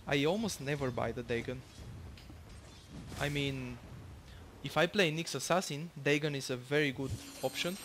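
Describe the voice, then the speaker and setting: A man's voice: medium pitch, moderate pace, a bit bright, a little hiss or hum. A young man from Bulgaria with a Bulgarian accent, casually commentating his own match, rambling with lots of fillers and the odd swear.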